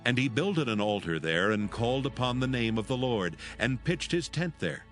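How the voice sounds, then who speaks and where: A man narrating scripture from a script in a formal, measured manner. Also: medium pitch, sing-song, fairly bright tone. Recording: music behind. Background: music.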